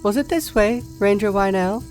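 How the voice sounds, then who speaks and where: A woman's voice: medium pitch, moderate pace, darkish tone, soft background music, lightly acted. A woman voicing a wary, questioning outlander noblewoman in a fantasy game reading, formal and earnest.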